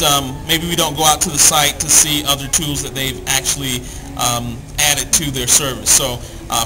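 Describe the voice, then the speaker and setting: A man's voice: fairly high pitch, poor audio. A man speaking as a guest, explaining tools in an informal, plain-spoken, instructional way.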